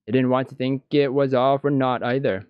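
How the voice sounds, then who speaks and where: A man reading visual novel text aloud as scripted narration, with a deliberate, dramatic storytelling delivery. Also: mid-range voice, fairly even intonation, smooth, dry recording, dull audio.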